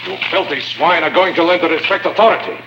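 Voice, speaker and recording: An actor playing a stern, bullying chief guard in a radio drama, barking formal commands. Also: male voice, fairly high pitch, quick pace, close to an everyday voice. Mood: harsh, threatening. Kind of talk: 1950s radio drama acting. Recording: muffled, noisy, echoing old radio recording.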